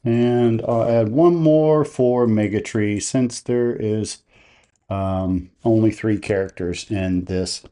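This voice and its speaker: Casting: male tutorial host, lowish mellow voice, step-by-step instruction. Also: slow pace. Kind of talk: step-by-step software tutorial narration.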